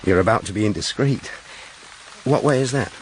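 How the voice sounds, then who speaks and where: A man's voice: lowish voice, moderate pace, natural voice, low-quality recording. An actor playing a courteous, wry young country clergyman in a period audio drama. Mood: teasing. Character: gallant, teasing, earnest country clergyman. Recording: rain noise behind the voice.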